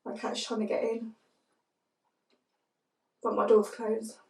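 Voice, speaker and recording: A young woman with a fairly high voice talks to camera, croaky and stuffed-up from a cold. She rambles softly, leaning on "like" as she goes. The sound is roomy and a little distant, as if the mic sits across her bedroom. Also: completely flat delivery, slow. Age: young adult. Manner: soft-spoken, rambling, lots of "like". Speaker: vlogger. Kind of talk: casual to-camera chat.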